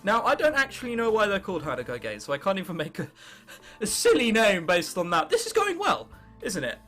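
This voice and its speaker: A man's voice: sits high, wildly swooping pitch, fairly quick, fairly bright tone, faint music behind. A 19-year-old man with an English accent doing casual, sarcastic game commentary with mock bravado, sometimes reading on-screen text aloud. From England. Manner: sarcastic, self-mocking bravado, casual swearing. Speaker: gaming video host.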